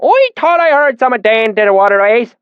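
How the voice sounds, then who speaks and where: A weathered backcountry swineherd who speaks in a thick rustic dialect, high, loud and drawling, his pitch swinging widely. The recording is dry and a little dull. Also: expressive, a little fast, smooth, no echo. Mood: suspicious. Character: lean weathered rural male swineherd, suspicious then friendly.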